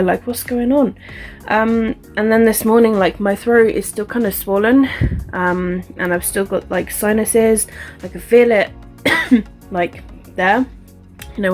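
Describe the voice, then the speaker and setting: A woman's voice: mid-range voice, a little mellow. A young woman chatting casually to camera in a vlog, congested and stuffy-nosed, rambling with fillers and restarts. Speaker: vlogger.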